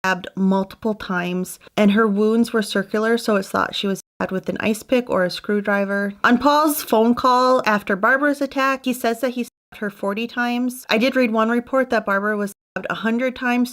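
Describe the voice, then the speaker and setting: Woman, true crime narrator, casual and conversational, mid-pitched voice, adds her own asides. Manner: conversational, with personal asides and doubts. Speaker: true crime channel host.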